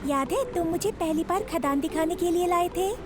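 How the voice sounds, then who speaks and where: A woman voicing a sweet, innocent little girl train in a Hindi children's cartoon, childlike and expressive. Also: very high-pitched, moderate pace, background noise. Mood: grateful. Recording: loud music under the voice.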